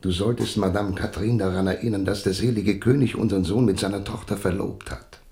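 An actor in a German radio play playing a vain, ambitious royal husband with a lofty manner. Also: male voice, lowish voice, fairly even intonation, fairly quick, vocal fry, mellow, reverberant. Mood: reasoning.

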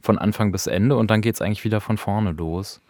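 Low-voiced man reflecting on literature, dry studio. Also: a little fast, darkish tone, no room sound. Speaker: radio podcast host. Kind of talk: conversational literary analysis.

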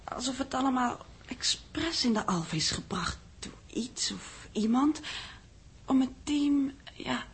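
A woman's voice: sits high, lively intonation, slow, breathy edge, full character voice. A woman playing a thoughtful, uneasy young secretary with hesitant, musing delivery, in a slightly noisy vintage radio drama. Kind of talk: 1960s radio drama acting. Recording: vintage radio recording with faint noise.

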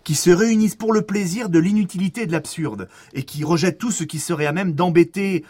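An actor playing a flustered, chatty eccentric suspect in a French audio drama, stammering and rambling with a French accent. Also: male voice, high-pitched, fairly quick, a little mellow, slightly rough. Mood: earnest, defensive.

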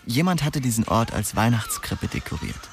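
A man's voice: mid-range voice, huge pitch swings, moderate pace, faint music behind. An actor playing a wry, streetwise young man narrating his own story in a radio drama. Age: young adult.

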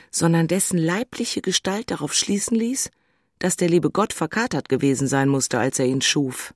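A woman's voice: on the low side, darkish tone, dry recording, studio quality. An actress playing an emotional, infatuated single city woman in an intimate audio drama.

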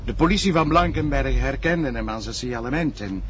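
A man's voice: mid-range voice, lively intonation, a little fast, fairly bright tone, close to an everyday voice. Earnest Flemish policeman played by a man, dull noisy audio. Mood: matter-of-fact. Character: earnest, matter-of-fact male police colleague. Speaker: actor. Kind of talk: naturalistic crime drama dialogue.

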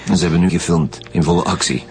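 A low-voiced Flemish homicide detective in a radio drama, dry in manner. Music runs clearly behind his voice. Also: fairly even intonation, fast talker, a little mellow. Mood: probing. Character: male police detective leading a murder investigation, dry. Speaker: actor.

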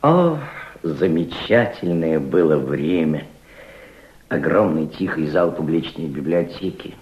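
A proud, cultured gentleman revolutionary speaks in a low, highly modulated voice. He reflects and orates like a fatherly mentor in an old radio play, heard in a roomy, echoing recording. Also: dull audio. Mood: nostalgic, stirred. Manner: reflective, oratorical, fatherly toward a young listener. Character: proud, cultured older Russian aristocrat turned revolutionary diplomat. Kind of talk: Soviet radio drama acting.

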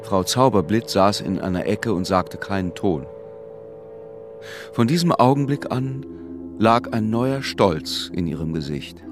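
A sombre radio-play narrator with a low voice over clearly present music. He tells the story in measured literary prose. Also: lively intonation. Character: sombre storyteller narrating a town's strange decline.

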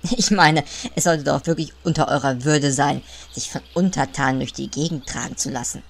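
A woman's voice: sits low, normal speed, vocal fry. A woman voicing a puny male gnome advisor in a comic audio play, fawning, whiny and pompously hesitant.

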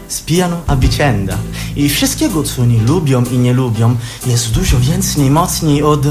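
A man's voice: mid-range voice, lively intonation, unhurried, natural voice. A man acting as a grave chorus narrator in a radio drama, with a declamatory delivery. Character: grave male chorus narrator describing ruthless power. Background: music.

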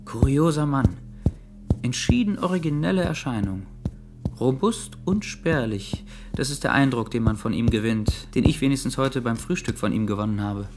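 A man's voice: medium pitch, animated, normal speed, loud music behind the voice, close to an everyday voice. An actor in a German radio drama playing a polite, earnest young man who speaks in a considered, mildly formal way. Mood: earnest, observant.

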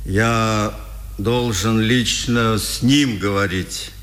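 A stiff little village policeman in a vintage Soviet radio play. He talks slowly and deliberately, formal and submissive. His voice rings in a long, hollow reverb. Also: on the low side, steady tone, fairly bright tone. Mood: stiff, official. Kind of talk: 1950s Soviet radio drama acting. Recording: echoey, reverberant old radio recording.

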